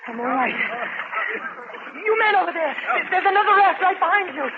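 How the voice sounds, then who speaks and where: A young American flight nurse in peril, commanding yet reassuring. It is urgent 1950s radio drama acting, heard through a thin, very noisy vintage broadcast. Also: female voice, extremely high pitch, animated, a little slow, phone-quality sound. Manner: urgent, take-charge, reassuring.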